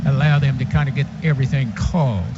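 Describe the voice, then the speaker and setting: A man's voice: medium pitch, steady tone, fast talker, noisy background. A man working as color commentator on a football radio broadcast, giving unscripted, loosely phrased analysis. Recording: dull radio broadcast, loud background sound.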